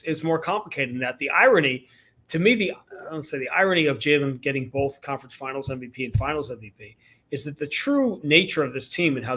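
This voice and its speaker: A man, a radio play-by-play announcer, giving emphatic sports analysis as a guest, with quick restarts. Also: mid-range voice, pushed and bright. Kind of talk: casual sports interview.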